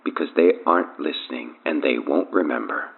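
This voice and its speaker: Creepy cult boss on a phone call, super gravelly and husky, smug and threatening, audio drama style. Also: male voice, extremely low pitch, full character voice. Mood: smug, musing. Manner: measured, grandiose, taunting. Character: powerful menacing male cult leader, coolly arrogant. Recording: thin narrow phone line.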